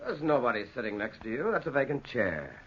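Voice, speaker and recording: A man's voice: medium pitch, sing-song, brisk, husky. An actor playing an eager, practical young man, protective of his sweetheart, in old-time radio drama. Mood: defensive, concerned. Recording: dull, noisy vintage radio recording.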